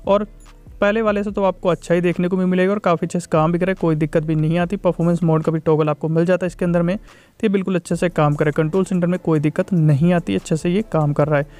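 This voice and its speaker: A man's voice: sits high, fairly even intonation, fast, a little mellow, clean tone, faint music behind. A man giving a casual, repetitive tech review voice-over, mixing in English tech terms.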